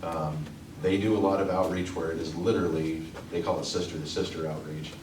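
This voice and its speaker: Deep-voiced man, earnest and halting. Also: steady tone. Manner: earnest, halting, many restarts. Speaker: union representative. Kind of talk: answering audience questions. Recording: echoey room, distant mic, noise.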